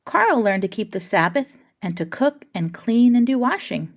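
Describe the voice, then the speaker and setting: A woman's voice: a little high, very animated, normal speed. Woman reading a storybook aloud. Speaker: reader of a children's picture book.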